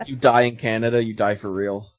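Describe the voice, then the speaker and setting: A man's voice: lowish voice, steady tone, a little fast, dry recording, highs cut off. A man chatting and joking casually with a group on a voice call.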